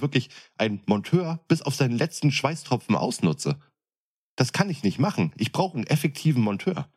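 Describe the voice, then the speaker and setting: A man's voice: mid-range voice, husky. A man speaking colloquial speech in a blunt, coarse, emphatic way, arguing his point in a casual podcast chat. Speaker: podcast co-host, experienced window fitter. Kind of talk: casual chat, opinionated argument.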